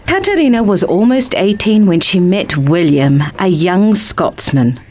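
A woman narrates a children's picture book. Her voice sits a little low, and she reads the scripted story as its storyteller. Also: big pitch swings, measured pace, darkish tone, slight background noise.

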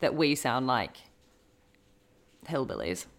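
A woman's voice: extremely low pitch, sing-song, slow pace. A woman with an Australian accent co-hosting a podcast, playful in casual chat. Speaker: podcast co-host.

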